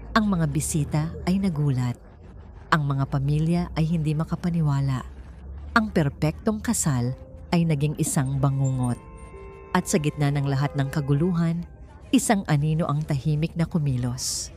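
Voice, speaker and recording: A woman reading a scripted romance drama as its narrator, in a measured, dramatic storytelling manner. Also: deep voice, mellow.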